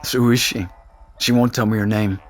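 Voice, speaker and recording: Man acting a low-voiced, dryly teasing concerned friend. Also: fairly even intonation, brisk, soft background music, close to an everyday voice. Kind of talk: naturalistic audio fiction acting.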